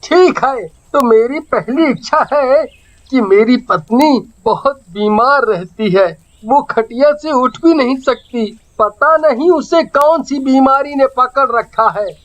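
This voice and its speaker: A woman playing a poor, kind-hearted fisherman and father in a story, musing earnestly in drawn-out phrases. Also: high-pitched, expressive. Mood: earnest, hopeful.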